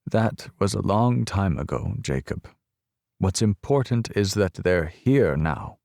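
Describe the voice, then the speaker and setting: A man voicing an elderly Polish-born grandmother, explaining slowly and softly to a child in an audiobook. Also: low voice, animated, gravelly, dry recording, studio quality, heavily acted. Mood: sad. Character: elderly woman, ghost-seeing Polish-born grandmother, gentle.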